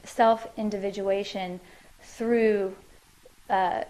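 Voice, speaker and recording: A woman's voice: medium pitch, fairly even intonation, very slow, dark tone, poor audio. A woman musician answering interview questions in her living room, thoughtful and loose, with many fillers and restarts.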